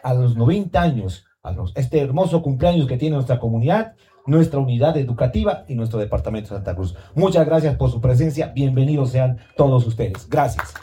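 A man's voice: medium pitch, lively intonation, a little fast, dark tone, a little hiss or hum. A man speaking at a community school anniversary, earnestly asking the authorities for support, often adding tag questions. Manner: earnest, pleading, repeats phrases with tag questions. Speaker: school director giving a speech. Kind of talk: formal public speech at a community event.

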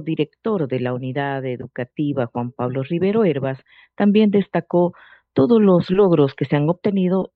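A male news reporter giving formal, measured voice-over narration. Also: on the high side, no echo. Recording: dry, tinny phone-like sound.

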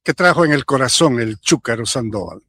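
A man's voice: medium pitch, expressive, a little fast, a bit bright, breathy edge, no echo, clean studio sound. A man hosting a conversational interview, courteous and somewhat formal in his phrasing. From Bolivia. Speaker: interview host.

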